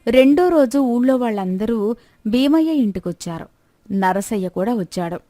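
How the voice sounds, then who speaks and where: A woman's voice: on the high side, lively intonation, normal speed, warm tone, no room sound, faint music behind. A woman reading a scripted moral story as a storyteller. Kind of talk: scripted story narration with acted dialogue.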